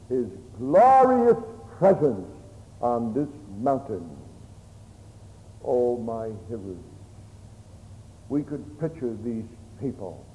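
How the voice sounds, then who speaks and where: A man preaching a solemn, oratorical Bible sermon, telling the story emphatically in short, halting phrases. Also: mid-range voice, dark tone, slight background noise. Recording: echoey hall with long reverb.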